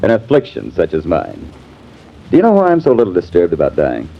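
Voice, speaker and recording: An actor playing a sardonic, disillusioned husband in a mannered, theatrical 1950s American radio drama style. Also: male voice, low voice, wildly swooping pitch, brisk, creaky, heavily acted. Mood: wry, bitter. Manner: wry, mannered, theatrical delivery. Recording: dull, hissy vintage radio recording.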